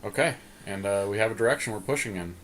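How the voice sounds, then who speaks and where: A man's voice: fairly low pitch, fast talker, fairly bright tone. A man co-hosting a podcast, casually thinking aloud as he comments on a card game draft. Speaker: podcast co-host. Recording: clearly noisy background.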